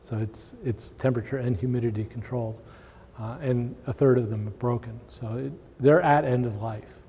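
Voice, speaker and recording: A man gives an informal conference presentation in a relaxed, mellow voice. He speaks at a middling pitch, and the hall adds a long reverberant tail to his words. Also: moderate pace, husky. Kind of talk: informal conference talk with audience questions. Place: large indoor conference room with long reverb.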